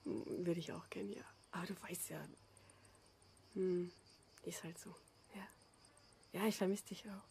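A woman's voice: lowish voice, fairly even intonation, measured pace, creaky, long pauses, a little mellow, slight background noise. An actress playing a harried working mother in a German crime radio drama. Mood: affectionate.

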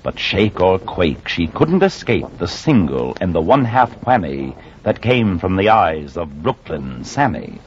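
An actor playing an ominous mock-epic storyteller, narrating verse dramatically in a 1940s radio play. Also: male voice, low-pitched, very animated, unhurried, hardly any pauses, heavily acted. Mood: portentous. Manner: dramatic, portentous. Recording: muffled, noisy 1940s radio recording.

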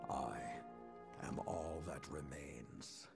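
An actor playing a solemn, weary last warrior lord in a video game, speaking slowly and gravely in dramatic narration. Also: male voice, exaggerated voice. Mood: somber, hushed. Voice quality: gravelly, very deep. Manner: solemn, slow, weighty delivery. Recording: music under the voice. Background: cinematic music.

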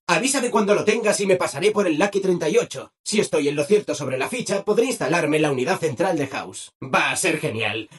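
A video game robot helper with a Castilian Spanish accent, relentlessly cheerful and keen to please. The voice sits high and swoops up and down, with a husky edge. Everything comes out chirpy and over-obliging. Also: male voice. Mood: helpful, obliging. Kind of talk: video game character voice acting.

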